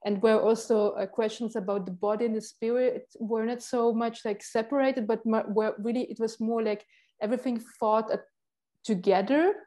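A young woman from Ukraine, a Russian native speaker, talks about her work in English. Her voice is mellow and sits a little high, and she gropes for words with frequent pauses and restarts. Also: fairly even intonation. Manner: thoughtful, halting, many fillers and restarts. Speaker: textile artist. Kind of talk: artist talk.

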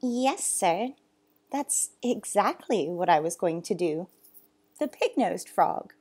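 A woman's voice: mid-range voice, expressive, takes it slowly, darkish tone, breathy edge. A woman voicing a timid, earnest young boy in a storybook, prone to nervous stammering. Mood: cheeky. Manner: stammering, spluttering.

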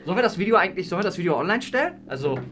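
A man chats casually as a vlog host. He talks very quickly. The recording is indoors on a handheld camera, with faint music behind. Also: high voice, very animated, a bit bright. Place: indoors, small studio rooms. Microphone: handheld vlog camera at arm's length.

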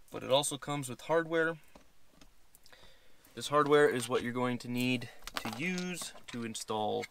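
A man's voice: mid-range voice, a bit bright. American man demonstrating, noisy recording. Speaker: product demonstrator. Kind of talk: casual how-to demonstration. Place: inside a parked pickup truck cab. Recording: clear background noise, clicks and handling sounds.

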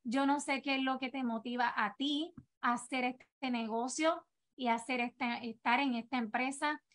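Woman, thirties, Puerto Rican accent, high pitch, earnest, encouraging, motivational business talk, video call. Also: steady tone, moderate pace, fairly bright tone. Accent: Puerto Rican Spanish. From Puerto Rico. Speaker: direct-sales team leader. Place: home office, indoors.

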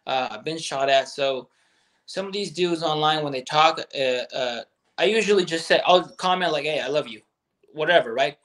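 A man's voice: a little high, moderate pace, a bit bright. Blunt, profane man on a video call. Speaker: guest, gun business owner. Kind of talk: candid podcast chat. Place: bedroom at home.

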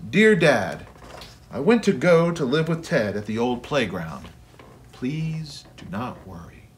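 A man's voice: medium pitch, big pitch swings, takes it slowly, a little hiss or hum. A man voicing an earnest, questioning, loyal young boy in a storybook.

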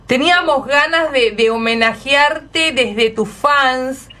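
Bright, high-voiced Argentine woman. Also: slow pace. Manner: gushing, effusive. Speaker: radio host. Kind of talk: lively unscripted radio chat.

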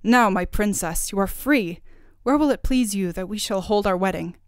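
A woman's voice: medium pitch, fairly even intonation, moderate pace, dry recording, studio quality, lightly acted. A woman voicing a proud, lovelorn young king in a fairy tale, stubborn and indignant. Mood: triumphant.

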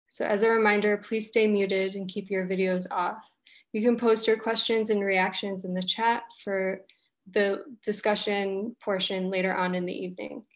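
A woman hosting an online artist talk, reading a prepared introduction in an even, measured way over a video call. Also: mid-range voice. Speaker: event host.

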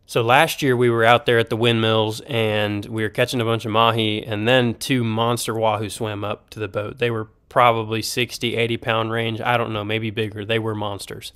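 A young man fishing on a boat, calling out excitedly as the fish bite, with stretches of relaxed narration about the trip. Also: lowish voice, smooth, no echo. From United States. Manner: excited, quick exclamations while fishing. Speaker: fishing vlogger. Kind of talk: casual fishing commentary and voice-over. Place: out on a boat on the open water. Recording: wind noise on an outdoor boat mic.

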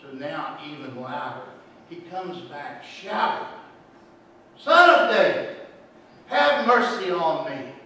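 A middle-aged man preaching a sermon in a church sanctuary, telling a story and swinging between hushed and loud delivery. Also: a little high, sing-song, slow, long pauses. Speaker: pastor. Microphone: room microphone, far from camera. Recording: roomy echo, distant mic.

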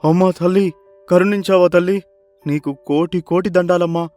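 A man's voice: sits high, steady tone, normal speed, warm tone, no room sound, soft background music, natural voice. A man voicing a poor, humble village farmer in a moral story, deferential and emphatic. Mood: overjoyed. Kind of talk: animated moral story character acting.

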